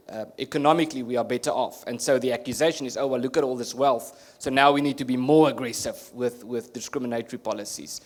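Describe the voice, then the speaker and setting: A man from South Africa with an Afrikaans accent, a native Afrikaans speaker, answering as a guest at a panel discussion in an earnest, explanatory way, often restarting his sentences. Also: mid-range voice. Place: conference hall.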